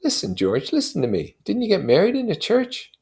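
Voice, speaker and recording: Man putting on an anxious, high-pitched coaxing friend. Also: wildly swooping pitch, brisk, gravelly, full character voice. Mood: coaxing, insistent. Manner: pleading, gently insistent, questioning. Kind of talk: dramatized novel reading.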